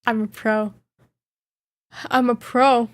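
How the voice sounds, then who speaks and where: A woman's voice: on the high side, little pitch movement, takes it slowly, dry recording. A woman streamer chatting casually, reading viewer questions aloud and reacting playfully with frequent giggles. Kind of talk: casual live Q&A chat.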